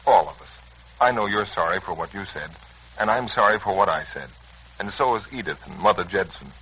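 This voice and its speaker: An actor with an American accent playing an earnest, slangy, hot-headed young son in a 1940s radio serial. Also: male voice, deep voice, expressive, fairly quick, sharp tone, slight background noise, rough recording. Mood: earnest, conciliatory. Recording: old, rough radio recording.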